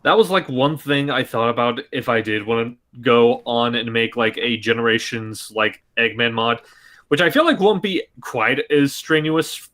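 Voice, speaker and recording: Bright-voiced man musing casually. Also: medium pitch, normal speed. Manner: thoughtful, hedging with fillers. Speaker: voice modder. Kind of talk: casual group chat on a stream.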